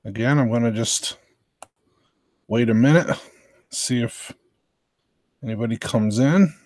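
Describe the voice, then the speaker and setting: An American man casually hosting a livestream in a low-key, halting way. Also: darkish tone, no echo. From southern Virginia, United States. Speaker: livestream host.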